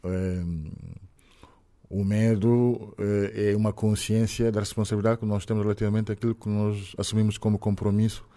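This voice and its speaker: A middle-aged man from Senegal with a French-tinged Senegalese accent, answering questions in an interview in a measured, reflective way with frequent hesitations. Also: on the low side, level tone, dark tone. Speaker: guest. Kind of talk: interview conversation.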